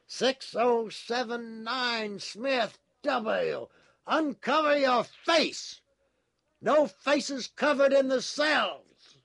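Audiobook prison guard: harsh, high, shouted commands, stretched out. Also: male voice, extremely slow, vocal fry, exaggerated voice. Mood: barking command. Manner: yelling, commanding. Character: harsh male guard barking orders through telescreen.

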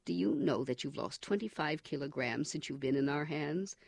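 A man's voice: fairly high pitch, lively intonation, moderate pace, vocal fry, dry recording, studio quality. A man doing a cold, menacing interrogator. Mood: contemptuous. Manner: forceful, contemptuous, coldly commanding. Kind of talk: audiobook character reading.

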